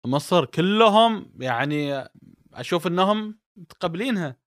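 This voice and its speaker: A man hosts a podcast, relaxed and affirming as he steers the talk with leading questions. His voice is a touch high, recorded dry and close. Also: moderate pace, dry recording. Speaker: podcast host. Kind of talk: casual interview talk.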